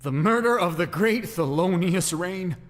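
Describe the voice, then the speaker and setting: A high, swooping male voice in a reverberant audio drama. He is a theatrical, defiant ventriloquist, brooding and sarcastic, with faint music underneath. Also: expressive, unhurried. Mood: solemn, confessing.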